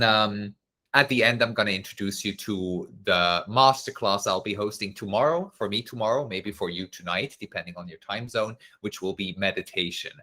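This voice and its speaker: A man's voice: fairly low pitch, bright, forceful tone. A man from Switzerland, hosting a live online masterclass in a casual, chatty way with easy laughs. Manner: casual and chatty, laughing easily, with many fillers. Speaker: masterclass host. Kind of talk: live-streamed online class, reading viewer comments.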